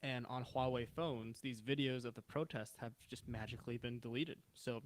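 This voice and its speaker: A man born in China whose first language is Mandarin, co-hosting a podcast in relaxed, profane banter. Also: medium pitch, normal speed, dry recording. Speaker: podcast co-host. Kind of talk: casual podcast chat.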